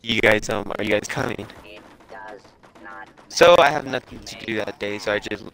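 Gravelly young man rambling through a story. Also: on the low side, a bit bright. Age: young adult. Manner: halting, rambling, filler-heavy with self-corrections. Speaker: game streamer telling a story. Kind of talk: casual storytelling over gameplay.

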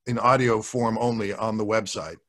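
An older man speaking as a guest in a casual video-call chat, measured and thoughtful, into a desk microphone. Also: on the low side, steady tone, a bit bright. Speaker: guest, audio drama producer. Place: indoors in a home office, video call. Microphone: desk microphone with pop filter.

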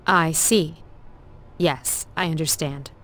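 A serious student council girl from a video game, kinda low voice, very proper and measured. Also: steady tone, a bit bright. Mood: grave, formal. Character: composed, formal young female student council leader. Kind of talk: scripted game voice acting.

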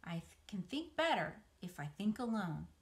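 A woman's voice: medium pitch, sing-song, moderate pace. A woman voicing a wise female spider from a storybook, comforting and motherly, giving firm, reassuring instruction. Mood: cool, light.

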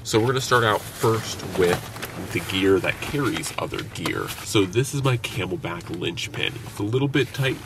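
Low gravelly man filming in his car. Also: moderate pace. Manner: relaxed, conversational, with frequent fillers. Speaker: outdoor gear vlogger. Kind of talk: casual gear review. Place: inside a parked car. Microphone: camera on the dashboard. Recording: somewhat distant mic, noticeable background hiss.

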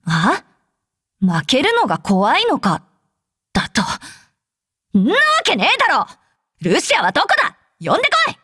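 An actress playing a brash, hot-tempered young female fighter with rough, curt tomboyish speech, in anime game voice lines. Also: high voice, wildly swooping pitch, moderate pace, pauses often, sharp tone, dry recording, clean studio sound, full character voice. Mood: indignant shouting. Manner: rough, curt masculine speech, easily flustered.

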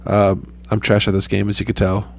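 Laid-back young man, low flat voice. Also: fairly quick, creaky. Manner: laid-back, rambling, frequent swearing. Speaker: gaming YouTuber. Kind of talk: casual gameplay commentary.

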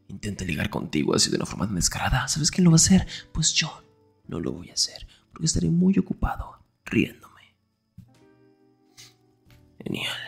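A man acting a grumpy, jealous, protective young best friend in an intimate Spanish-style ASMR roleplay, breathy and often whispering, defensive and sarcastic. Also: sits low, full character voice.

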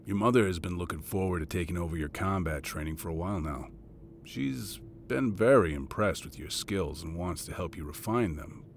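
A man acts out a military father for an audio story. His voice sits low, with a measured, fatherly delivery that is fond and encouraging. A little noise sits behind him. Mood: gentle, fond.

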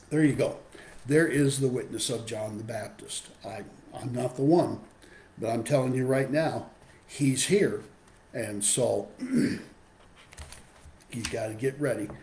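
A man in his sixties with a hoarse voice from a cold, teaching an adult Bible class on a webcam and reading scripture aloud. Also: mid-range voice, darkish tone, roomy sound. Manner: explanatory, reads scripture aloud. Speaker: Sunday school teacher. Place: home room with window. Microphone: webcam video call.

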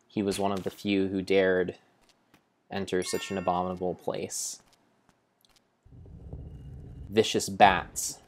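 A young man narrating gameplay as a solemn, deliberate, theatrical story. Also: sits low. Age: young adult. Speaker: game streamer. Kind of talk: dramatic storybook-style narration over gameplay.

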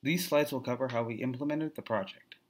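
A young man with a medium-pitched voice reads from a script. He is a student presenter going through slides in a formal project talk. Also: brisk. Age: young adult.

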